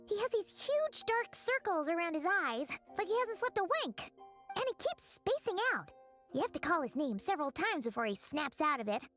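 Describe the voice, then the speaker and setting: A woman voicing a tiny, chatty, anxious fairy companion in an anime game, with a squeaky childlike voice. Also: fairly bright tone, heavily acted. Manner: speaks of herself in the third person, fretful.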